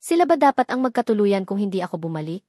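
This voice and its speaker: A woman narrator reading the part of a wounded young bride in a storybook, speaking quietly and forcing her voice steady. Her pitch stays in a middle range, recorded dry and close. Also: fast talker, no echo. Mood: strained. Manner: strained, quiet, holding voice steady. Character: hurt young bride holding back tears.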